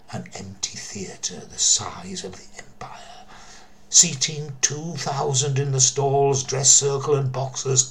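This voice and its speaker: An older man with a mid-pitched voice narrates a mystery as a storyteller. His delivery is theatrical and deliberate, leaning on key words and pausing for drama. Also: creaky. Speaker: storyteller, former theatre worker.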